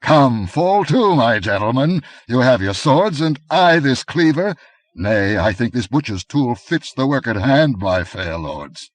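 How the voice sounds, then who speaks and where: A man voicing a mighty barbarian king, speaking in a rumbling, mocking, defiant way. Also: level tone, takes it slowly, few pauses, no room sound.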